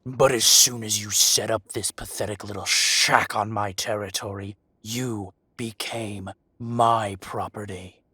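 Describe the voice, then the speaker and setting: A man playing a menacing, possessive dragon-shifter mafia boss, speaking in slow, condescending threats in a dramatic audio roleplay. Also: on the low side, fairly even intonation, sharp tone, slightly rough, dry recording, exaggerated voice. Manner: slow, deliberate, condescending threats with heavy pauses. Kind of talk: dramatic roleplay monologue addressed to the listener.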